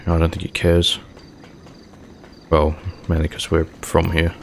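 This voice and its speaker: Deep-voiced, cautious zombie survivor played by a man. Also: monotone, unhurried, slight background noise. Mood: uneasy. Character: cautious, take-charge male survivor of zombie outbreak. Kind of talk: improvised video game roleplay skit.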